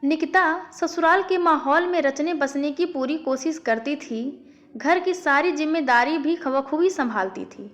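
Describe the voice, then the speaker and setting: A woman's voice: sits high, a little slow. A woman storyteller reading a scripted family moral story in audiobook narration. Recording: faint background music. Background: faint music.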